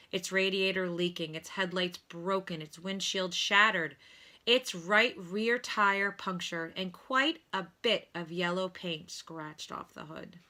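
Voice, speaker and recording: A woman reading a children's story aloud in an expressive narrating style, like a teacher to pupils. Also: on the low side.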